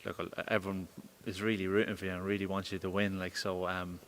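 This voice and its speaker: A man's voice: low voice, level tone, fast, fairly bright tone, a little hiss or hum. A young man interviewing a boxer, rambling and hesitant with many fillers.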